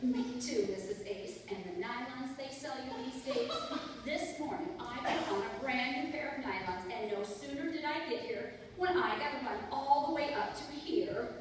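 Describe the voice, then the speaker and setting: A woman's voice: high voice, animated, moderate pace. A woman playing a chirpy, coaxing receptionist nurse in old-time radio comedy style. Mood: chatty. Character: chirpy, soothing female receptionist nurse, gossipy. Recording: echoey hall from far off, noisy.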